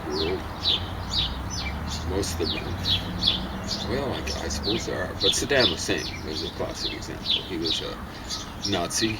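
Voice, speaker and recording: A man speaking in a very low register, rambling and halting with plenty of fillers as he chats casually. Loud background noise and bird calls run under his voice. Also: expressive. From Africa. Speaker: partner in a home vlog conversation. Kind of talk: casual opinionated chat.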